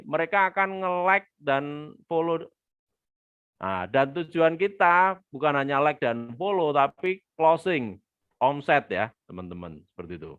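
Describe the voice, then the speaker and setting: A man's voice: a little high, fairly bright tone, clear, resonant tone, no echo, highs cut off. A man teaching online marketing on a live webinar, chatting with viewers and reading their comments aloud. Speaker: business trainer hosting a live webinar. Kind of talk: live-stream teaching with viewer shout-outs.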